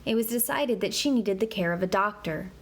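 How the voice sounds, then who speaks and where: A woman reads an interactive children's book aloud in a clear, explanatory way. Her voice sits at a middle pitch, and she slows down to spell out medical terms. There is clear background noise under her voice. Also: animated. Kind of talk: scripted read-aloud narration for kids.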